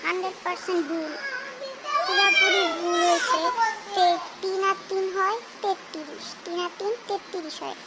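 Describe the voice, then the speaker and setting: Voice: very high voice, expressive, moderate pace, noisy background. A performer voicing a cheeky, clever young boy in a cartoon, speaking in a teasing, playful way. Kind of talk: cartoon dubbing.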